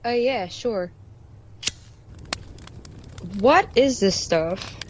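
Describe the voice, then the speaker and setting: A woman's voice: a little high, expressive, very slow, a little hiss or hum. A woman playing a supportive but uneasy teenage girl, hesitant and slangy, in an amateur re-enactment of a film scene. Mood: puzzled. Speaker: podcast guest.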